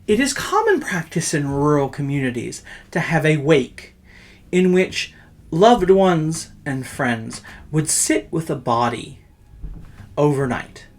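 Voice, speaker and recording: Theatrical, animated campy horror storyteller. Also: mid-range voice, slow pace. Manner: pompous, campy, self-flattering showmanship. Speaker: storyteller host.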